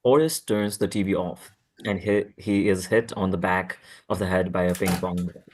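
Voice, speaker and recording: A man's voice: low voice, moderate pace, a little hiss or hum. A man narrating a script at a table read, reading out stage directions.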